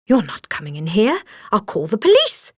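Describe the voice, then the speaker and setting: A woman voicing a feisty, protective, excitable housekeeper in a storybook, shrill and exclaiming. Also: normal speed, slightly rough, no echo, clean studio sound. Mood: defiant.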